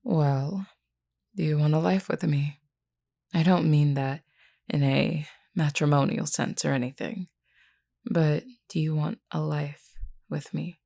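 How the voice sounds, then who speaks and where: A woman playing a flirty, frank, self-assured werewolf in an intimate, unhurried audio roleplay spoken to the listener. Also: steady tone, exaggerated voice. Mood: earnest, probing. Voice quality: low, creaky, mellow. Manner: unhurried, teasing yet earnest, deliberate.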